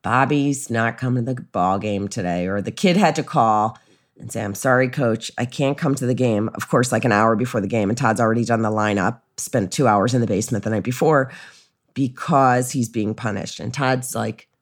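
A middle-aged American woman co-hosting a podcast, telling casual anecdotes in an animated way and often acting out quotes. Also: a bass voice, moderate pace. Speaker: podcast co-host. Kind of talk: casual conversational chat, anecdotes.

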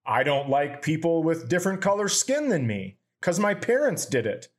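Profane, animated man telling stories. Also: a little high, moderate pace. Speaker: podcast host. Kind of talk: casual podcast banter.

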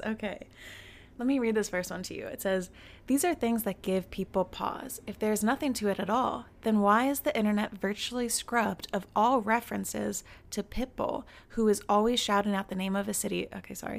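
A young woman in her twenties hosting a casual solo podcast, her voice raspy from just waking up, chatty and giggly with many fillers. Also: fairly high pitch. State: raspy morning voice. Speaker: podcast host.

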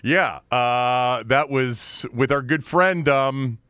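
A man's voice: mid-range voice, slow, a bit bright, clean tone, dry recording. A middle-aged man hosting a sports radio show, talking and interviewing in a casual, conversational way.

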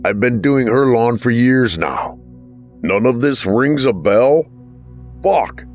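A man voicing a worn-out, desperate handyman who pleads and explains, pitched well above his own voice. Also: expressive, takes it slowly, a little mellow, faint music behind. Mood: frustrated. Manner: weary, pleading.

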